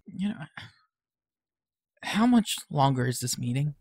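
A troubled high school boy in an audio drama played by a man, with a fairly high male voice in a dry recording. He is sarcastic and defensive, stumbling over his words. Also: big pitch swings, unhurried, darkish tone, slightly rough, no room sound, heavily acted. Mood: defensive, angry. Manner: hesitant, stammering, defensive.

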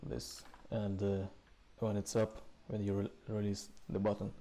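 A man presenting a programming tutorial in a casual walkthrough style, speaking haltingly in short, hesitant phrases. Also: lowish voice, monotone, mellow. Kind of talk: casual screencast code walkthrough. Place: indoors, voice-over recorded with screen capture.

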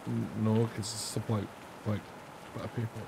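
A raspy, gravelly man in his thirties talks through a game on a headset at home, reading questions aloud and cracking jokes between answers. His voice is a little low and worn hoarse, with a steady noisy hiss behind it. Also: normal speed. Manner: reads on-screen questions aloud and answers them, jokey. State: hoarse from days of singing. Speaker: gaming channel host. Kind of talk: casual let's-play commentary. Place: home room, indoors.

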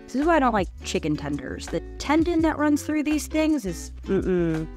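A woman's voice: sits high, very animated, moderate pace, darkish tone, soft background music. A young adult woman chatting casually as a cooking vlogger, with a wry, self-deprecating manner. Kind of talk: casual chat while cooking. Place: home kitchen, indoors.

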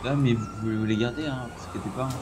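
A man's voice: medium pitch, fairly even intonation, fast, noisy background. A man chatting casually in an online roleplay game, easygoing and accommodating. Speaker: roleplay game player as driver.

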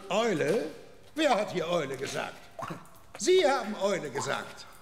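A man's voice: high voice, very animated, a little slow, gravelly, exaggerated voice. An actor playing a feisty, indignant old man in a children's audio drama, stubborn and protective. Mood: sly, evasive. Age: older. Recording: echoey hall with noisy background.

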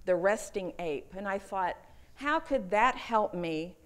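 A woman's voice: mid-range voice, expressive, slow pace. A woman in her fifties from Houston with a Texan accent, giving a lightning talk at a conference and telling personal stories in an earnest way. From Houston, Texas. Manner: earnest, personal storytelling with a few fillers. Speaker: conference speaker. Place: conference room.

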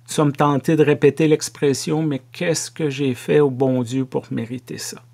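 A middle-aged man with a Québécois French accent giving a sermon to camera from a home office, slow and measured with playful asides. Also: fairly high pitch, darkish tone. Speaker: pastor.

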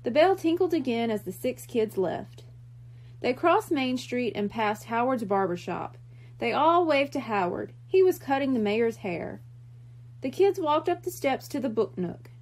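A woman reading a children's mystery book aloud in expressive storytelling narration. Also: a little high, unhurried.

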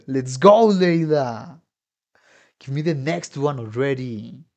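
A man's voice: medium pitch, lively intonation, slow pace, a little mellow. A man giving casual, exclamatory, slangy reaction commentary as a musician watching game trailers. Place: home room with instruments. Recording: game music playing behind the voice.

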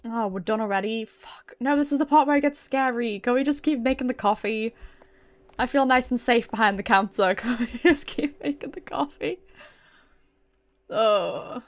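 High-voiced British woman streaming a game. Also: moderate pace. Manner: chatty, sweary, reacting aloud to the game. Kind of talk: casual game stream commentary, reading dialogue aloud.

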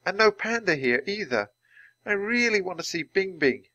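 Eager young girl, bright and chirpy, high lively voice, a male narrator putting her on. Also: normal speed, no echo, muffled recording, full character voice.

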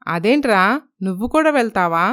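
A woman playing an older, possessive mother in an animated moral story, martyred and reluctant in manner. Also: medium pitch, fairly quick, clean tone, lightly acted. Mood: resigned, hurt.

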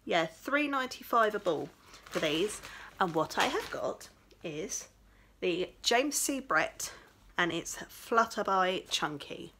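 A woman's voice: medium pitch, expressive, takes it slowly, bright, forceful tone, a little hiss or hum. A woman, tired and chatty, showing off yarn from a small craft room at home. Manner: chatty, rambling, with many fillers. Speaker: crochet vlogger. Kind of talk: casual chat, showing yarn.

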